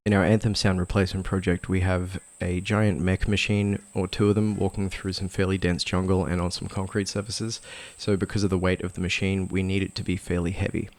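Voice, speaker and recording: Deep-voiced man with a flat, gravelly delivery. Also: dry recording. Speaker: sound designer presenting a tutorial. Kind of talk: casual screen-recorded tutorial narration.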